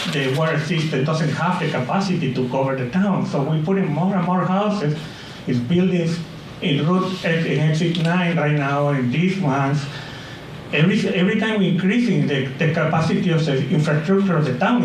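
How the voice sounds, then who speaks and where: A man giving a public comment at a town meeting, earnest and halting, with uneven grammar. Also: on the high side, slight background noise. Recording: echoey hall, picked up from a distance.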